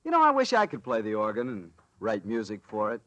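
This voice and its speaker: A man's voice: fairly low pitch, wildly swooping pitch, a little fast, a bit bright, dry recording. A man with an American accent hosting and announcing in a polished, emphatic 1940s radio sponsor style.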